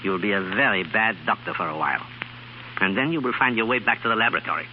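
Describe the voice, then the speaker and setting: A man's voice: on the low side, lively intonation, quick pace, natural voice. A man acting an earnest, fatherly scientist and professor in a 1930s radio drama, with formal, theatrical phrasing. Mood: resigned, kindly. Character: older male research scientist and professor, earnest, fatherly, scornful of practice. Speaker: radio actor. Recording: muffled, hissy 1930s radio broadcast.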